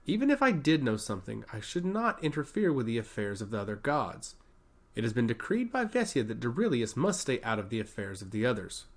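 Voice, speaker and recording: Man playing a haughty, animated archmage. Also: medium pitch, fairly quick. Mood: dismissive. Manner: curt, dismissive. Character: haughty, impatient male archmage.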